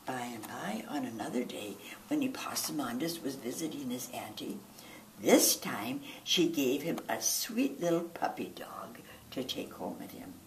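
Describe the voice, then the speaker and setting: A low-voiced woman reads a picture book to children, slowly and with very lively intonation. She is a little way from the mic in a roomy space. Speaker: library children's storyteller. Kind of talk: animated storybook read-aloud. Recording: roomy, distant mic, faint noise.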